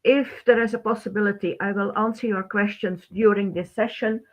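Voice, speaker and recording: A middle-aged woman from Belgium, a native Dutch speaker with a Belgian Dutch accent, presenting a webinar informally in halting, non-native phrasing. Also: medium pitch, steady tone. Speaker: webinar host, teacher. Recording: thin phone-like band-limited webinar audio.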